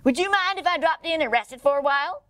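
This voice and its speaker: A woman reads as a courteous male armadillo mailman from a children's storybook. He is folksy and chatty, with an animated, lilting melody and a dry sound. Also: sits high, fast talker, no echo, voice pushed up. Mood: friendly.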